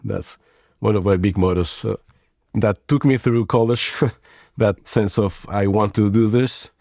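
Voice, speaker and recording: A man in his forties from Mexico talks in a very low, deep voice as an interview guest. He reflects as he goes, restarting phrases and adding fillers. The sound is close and dry. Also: fairly even intonation, a little slow, gravelly, darkish tone, dry recording. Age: 40s. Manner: thoughtful, rambling with frequent restarts and fillers. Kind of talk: conversational interview.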